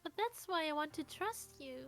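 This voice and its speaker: A woman's voice: sits high, animated, moderate pace, soft background music. A woman voicing a shy, sad teenage anime girl in love in a visual novel, with a tender, hesitant delivery. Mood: anxious, fragile.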